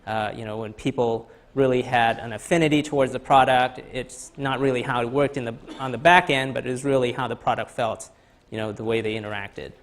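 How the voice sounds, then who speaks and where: A man from Thailand in his thirties giving a conference talk in a lecture hall, halting with many fillers and restarts. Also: mid-range voice, fairly even intonation. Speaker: guest speaker.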